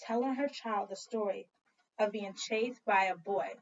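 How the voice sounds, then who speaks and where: A woman teacher doing an expressive read-aloud for children, telling the story dramatically and prompting listeners with questions. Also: mid-range voice, measured pace. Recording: dull lo-fi sound, distant from mic.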